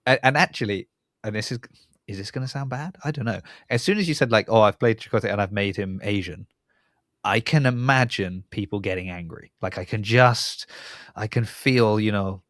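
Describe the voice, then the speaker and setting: A man with a fairly low voice hosts a casual podcast chat. He thinks aloud, circling and rewording his sentences as he goes. The sound is close and dry, with very little room in it. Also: fairly bright tone, no room sound. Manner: rambling, thinking aloud, often rephrasing mid-sentence. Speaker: podcast host and interviewer.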